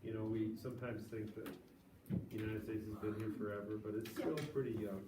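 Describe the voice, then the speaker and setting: A man's voice: on the low side, little pitch movement, a little fast. Man teaching a class from across the room. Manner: casual, chatty storytelling to students. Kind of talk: classroom lecture. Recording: echoey classroom from far off, noisy.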